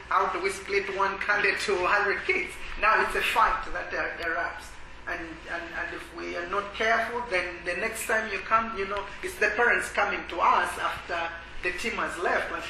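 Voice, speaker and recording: A man from Malawi sharing his testimony at a church workshop, speaking haltingly with many pauses and filler sounds. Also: high voice, pushed and bright. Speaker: ministry worker. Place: conference workshop room. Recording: echoey room with background noise.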